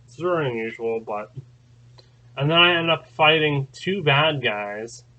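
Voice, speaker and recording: Man, channel host, slow and drawn-out, sharp bright tone, rambling with fillers, muffled lo-fi sound. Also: on the high side. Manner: rambling, many fillers and restarts. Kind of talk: casual spoken recounting.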